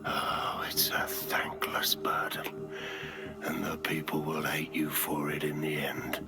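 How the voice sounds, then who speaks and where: A grim military villain in a video game. The low voice sinks toward a whisper, breathy and weary with menace. Loud dramatic music plays behind it. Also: male voice, little pitch movement, heavily acted. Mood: weary contempt. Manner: slow, grim, hushed and breathy. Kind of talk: dramatic game cutscene acting.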